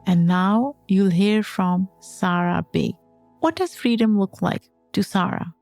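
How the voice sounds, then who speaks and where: A woman's voice: medium pitch, slow, vocal fry, a little mellow, soft background music. A woman hosting a podcast, reading a scripted introduction in an inviting way. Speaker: podcast host.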